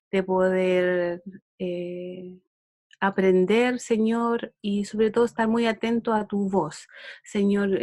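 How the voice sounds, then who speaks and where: A middle-aged woman with a Chilean accent giving an online Bible lesson, unhurried and full of fillers and restarts. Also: mid-range voice, a little mellow, dry recording, studio quality. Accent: Chilean Spanish. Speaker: Bible school teacher.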